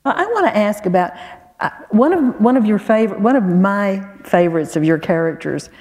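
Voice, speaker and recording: A woman in her sixties interviewing on stage, haltingly, often searching for her words. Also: medium pitch, lively intonation, darkish tone. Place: auditorium stage, indoors. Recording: echoey hall, stage event.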